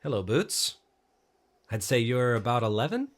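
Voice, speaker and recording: An actor playing a troubled, bookish, melancholy man in an audio drama, speaking and narrating in a halting, introspective way. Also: medium pitch, sing-song, dry recording, close to an everyday voice. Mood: gentle, friendly. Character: troubled, bookish, melancholy man revisiting childhood holiday guesthouse.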